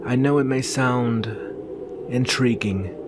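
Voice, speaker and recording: A man playing a brooding, protective young northern warrior and devoted lover in an earnest, solemn ASMR roleplay with measured pauses. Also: mid-range voice, lightly acted. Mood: grim, warning. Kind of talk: ASMR roleplay, intimate slow dramatic monologue. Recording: very noisy ambient background.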